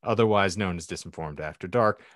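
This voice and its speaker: A man's voice: low voice, a little fast, no echo, clean studio sound. A man hosting a comedy podcast, speaking in a mock-formal, flowery way with playful banter. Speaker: podcast host.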